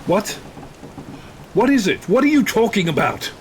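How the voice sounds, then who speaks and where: A man's voice: sits high, sing-song, moderate pace, a little hiss or hum. An actor playing a weary, fearful, devout ship's captain giving his log in a horror radio play. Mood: alarmed. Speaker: actor in horror audio drama.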